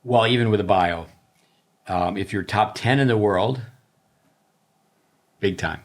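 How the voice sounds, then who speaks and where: Middle aged tennis coach guy, really deep voice, rambly casual podcast chat. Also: unhurried.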